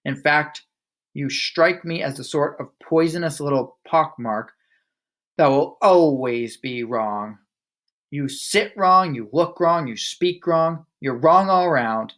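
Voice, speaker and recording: A man voicing a loud, bullying female headmistress who barks and sneers. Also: a little high, animated, slow pace. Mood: scornful shouting. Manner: barking, scornful.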